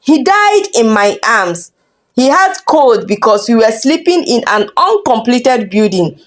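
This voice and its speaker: A woman's voice: fairly high pitch, big pitch swings, unhurried. A woman storyteller voicing a wronged, resolute young mother, wounded and bitter, with pointed dramatic delivery in a folktale. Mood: bitter, grieving.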